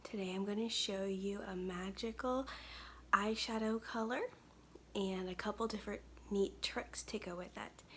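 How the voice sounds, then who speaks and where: A woman in her thirties with a soft, weak, breathy voice, chatting casually through a makeup tutorial at home to a close webcam. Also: mid-range voice, fairly even intonation, normal speed, slight background noise. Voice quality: gravelly, breathy. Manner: soft-spoken, chatty, many ums. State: weak, tired voice. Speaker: makeup brand owner. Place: home studio, indoors.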